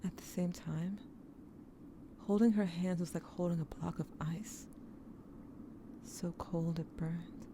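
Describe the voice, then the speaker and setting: A woman narrating as an adult looking back on childhood, quiet and often close to a whisper. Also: fairly low pitch, fairly even intonation, takes it slowly, long pauses, slight background noise. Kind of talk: first-person narration in audio drama.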